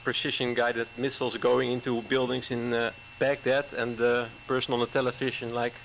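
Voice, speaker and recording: A man around forty, a caller chatting hesitantly with many fillers and soft chuckles. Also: medium pitch, flat delivery, normal speed, vocal fry, a little hiss or hum, rough recording. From Netherlands. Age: 40s. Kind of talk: casual chat.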